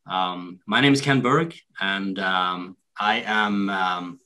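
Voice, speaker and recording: A man from Norway hosting a hunting webinar over a video call, speaking hesitantly with many pauses and fillers. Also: low voice, sharp tone. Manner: hesitant, many ums and restarts. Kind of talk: casual video call introduction.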